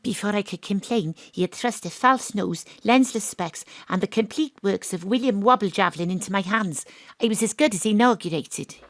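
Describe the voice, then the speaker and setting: An actress playing a down-to-earth private detective, dry and sardonic, who also narrates. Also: female voice, medium pitch, normal speed, no room sound, close to an everyday voice. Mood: narrating. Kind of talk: audio drama acting with narration. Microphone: home studio microphone.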